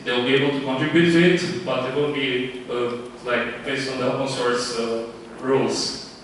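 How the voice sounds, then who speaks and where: Guy pitching a startup, lots of ums, pretty monotone, heard from a distance in a super echoey room. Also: a little hiss or hum. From Romania. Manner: halting, many fillers and restarts. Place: event room.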